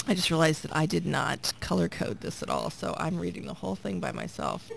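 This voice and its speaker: Woman radio cohost, bit hoarse and gravelly, teasing, laughs a lot, faint hiss behind. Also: low-pitched, huge pitch swings, fairly quick. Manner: laughs often, playful teasing. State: a bit hoarse after a late night. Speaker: radio co-host. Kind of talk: casual radio banter.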